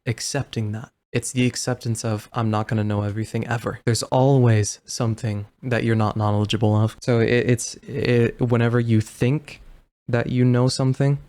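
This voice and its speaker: A man with a mid-pitched voice talks earnestly in relaxed conversation. He leans on his own experience and stresses his points with conviction. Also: normal speed. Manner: earnest and emphatic, speaks from personal experience. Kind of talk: casual conversational talk.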